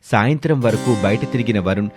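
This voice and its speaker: A man's voice: on the low side, fairly quick. Male storyteller over background music. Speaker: story narrator. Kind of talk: scripted storytelling narration. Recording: music behind the voice.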